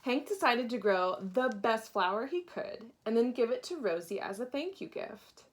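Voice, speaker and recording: A woman reading a children's picture book aloud with dramatic pauses, acting out the story. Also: on the high side, lively intonation. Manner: deliberate dramatic pauses, acts out characters. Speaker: storybook reader, teacher.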